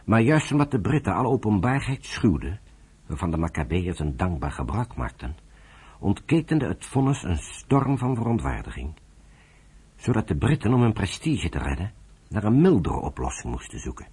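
A man with a rough, husky voice narrating a radio drama from a script. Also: sits low, big pitch swings, normal speed, a little mellow.

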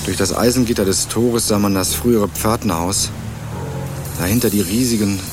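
Actor as a low-voiced laconic young man. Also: normal speed, over music, natural voice. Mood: matter-of-fact. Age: young adult. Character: young male student from Berlin, laconic and guarded. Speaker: narrator and lead actor. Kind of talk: first-person radio crime drama narration and dialogue.

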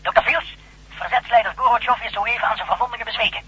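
A man's voice: extremely high pitch, fast, sharp tone, slightly rough, lo-fi sound, heavily acted. A man acting in a radio drama as an obedient henchman, reporting in a clipped manner. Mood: dutiful. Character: eager, obedient henchman reporting to his superior.

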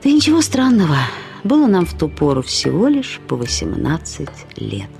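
A woman reading literary prose as a radio storyteller, in an expressive, reflective, measured way. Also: sits low, vocal fry. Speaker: narrator of a short story. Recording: studio radio production with music. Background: music.

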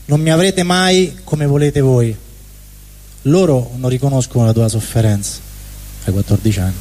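A man with a mellow, mid-pitched voice reads a dramatic text on stage. Long hall reverb and faint noise surround him. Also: moderate pace. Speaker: stage performer. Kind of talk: live dramatic literary reading. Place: indoor stage at a live event.

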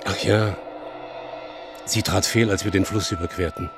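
A man's voice: deep voice, fairly even intonation, moderate pace, music in the background. An actor playing a commanding, protective medieval nobleman in a German radio drama. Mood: restrained, tender.

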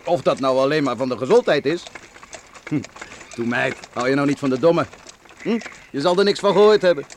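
An actor playing a young country cart driver in a Dutch radio play, jovial, folksy, teasing and gossipy. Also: male voice, medium pitch, brisk, long pauses, slight background noise, natural voice. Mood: sly, teasing. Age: young adult.

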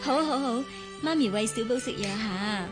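A woman with a Hong Kong Cantonese accent acting in a radio drama as a gentle, caring secretary with a soft, coaxing way of talking. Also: fairly high pitch, normal speed, over loud music, close to an everyday voice. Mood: tender coaxing. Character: gentle, caring female secretary posing as a mother.

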